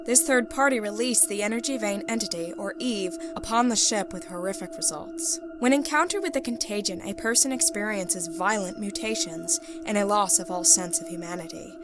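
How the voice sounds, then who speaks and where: A woman playing a composed, ruthless female secret agent, giving a formal, measured science-fiction mission report. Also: mid-range voice, natural voice. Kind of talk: scripted dramatic reading, mission debrief. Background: background music.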